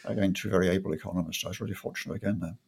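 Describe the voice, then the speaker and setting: An older man from North Wales, answering interview questions in a measured way with frequent ums and restarts. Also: low voice, fairly even intonation, vocal fry, darkish tone, slightly rough. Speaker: guest economist. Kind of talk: conversational interview.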